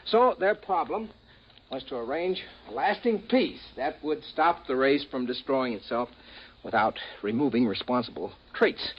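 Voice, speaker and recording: Cocky, husky sportsman hunter, lively delivery. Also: mid-range voice, normal speed. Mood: matter-of-fact. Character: seasoned male hunter for sport, cocky, chauvinist, businesslike. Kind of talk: radio drama acting. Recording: muffled old radio drama recording.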